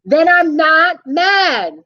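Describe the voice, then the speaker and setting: A woman's voice: high-pitched, very animated, a little slow, a bit bright, voice pushed up, heavily acted. A woman voicing a tired bedtime buddy creature, weary and exasperated. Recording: dull lo-fi recording.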